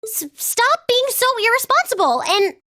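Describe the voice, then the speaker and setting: Super high bright teen girl student, prim n exasperated, voice jumps all over, video game style. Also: very animated, moderate pace, no echo, clean studio sound, exaggerated voice. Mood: flustered, indignant. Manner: indignant, scolding, flustered. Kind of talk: English video game voice acting.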